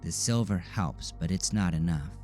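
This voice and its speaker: A man's voice: low voice, moderate pace, darkish tone, soft background music, lightly acted. A man voicing a solemn eight-year-old boy, matter-of-fact and knowing beyond his years, in an audio drama. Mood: grave, knowing.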